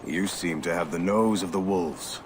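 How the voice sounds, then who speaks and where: An actor as a very deep-voiced solemn warrior. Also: male voice, normal speed, noisy background, close to an everyday voice. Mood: grave, focused. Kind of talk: video game cutscene acting.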